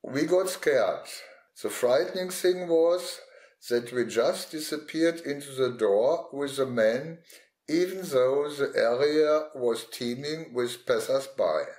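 A man's voice: mid-range voice, takes it slowly, darkish tone, roomy sound, rough recording. A man giving a scripted first-person reminiscence as a witness looking back. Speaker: witness recalling past experience.

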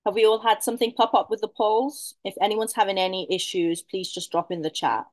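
A woman's voice: medium pitch, fairly even intonation, a little fast, dry recording. A young woman from the UK with a British accent, co-hosting a webinar on a video call, reading out where viewers are from and launching a poll. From United Kingdom. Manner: bubbly, reacting eagerly to the chat. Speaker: webinar co-host running a poll. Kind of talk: casual webinar chat.

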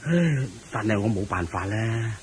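A fat, hearty king who lives to indulge. He speaks with a Hong Kong Cantonese accent and swoops about expressively in radio-drama style, over clear background noise. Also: on the low side, expressive, measured pace. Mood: troubled. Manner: exclaiming, chuckling, expansive.

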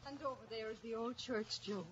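A woman's voice: on the high side, a little fast, natural voice. An actress with an Irish accent playing a guileless, romantic young village woman, earnest and frank, in 1940s radio style. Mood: chatty. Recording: muffled old radio broadcast.

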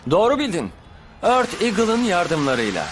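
A cool teenage cartoon hero, speaks high with big swings in pitch. He sounds self-assured and dismissive. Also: huge pitch swings, normal speed, slight background noise. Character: cool, confident teenage male battler. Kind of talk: dubbed anime battle acting. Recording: music behind the voice.

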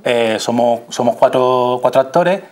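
A man's voice: medium pitch, unhurried, a little mellow. A man in his thirties with an accent from Spain, an actor explaining things thoughtfully and emphatically as a studio interview guest. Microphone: lapel mic.

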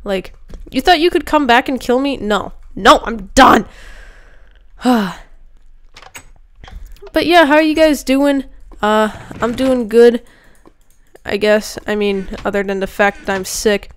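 A woman's voice: on the high side, slow pace, pauses often, clean tone. A young woman with a cold chatting casually over her game, rambling with lots of fillers. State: sick with a cold, voice a bit rough. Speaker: gaming youtuber. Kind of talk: casual gameplay commentary.

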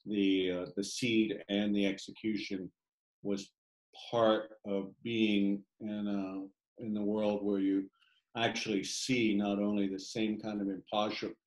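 A man who writes, speaking about his book on a video call in a halting, thoughtful way with many fillers. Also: lowish voice, a little mellow, reverberant, rough recording. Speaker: poet reading his work. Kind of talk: reflective talk in an online reading. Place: home room on a video call.

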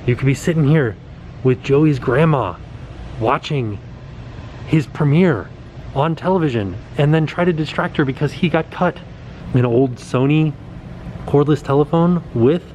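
A man in his forties excitedly pointing things out as he walks through a small indoor set, filmed on a handheld camera at arm's length. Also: a little high, highs cut off, a little hiss or hum. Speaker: vlogger. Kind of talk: casual walkthrough vlog. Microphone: camera held at arm's length.

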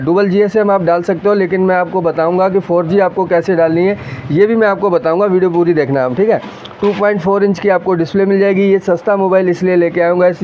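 High-pitched man demonstrating products, muffled recording. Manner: repetitive, salesman-like explaining, addressing viewers directly. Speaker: host of a phone unboxing channel. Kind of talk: casual product demonstration to camera. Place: small mobile phone shop, indoors. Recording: muffled lo-fi audio with background noise.

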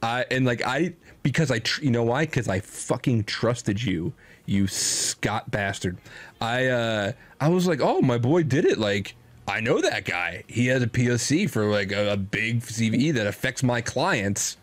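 A man in his thirties hosting a casual streamed chat, excitable, swearing freely and laughing often. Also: mid-range voice, measured pace, no room sound. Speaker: podcast host.

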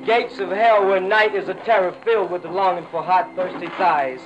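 A man's voice: high-pitched, steady tone, normal speed. A man, a jazz poet, giving a spoken-word reading of Beat poetry. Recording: dull old 1960 radio recording, music.

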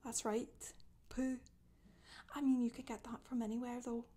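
A woman playing a chatty, boastful witch in a soft-spoken ASMR monologue, rambling and gossipy, often slipping into a whisper. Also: fairly high pitch, little pitch movement, moderate pace, lightly acted. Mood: weary, matter-of-fact. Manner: rambling, gossipy, casual asides. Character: Scottish witch woman confessing, matter-of-fact, chatty, boastful. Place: small indoor room, seen seated.

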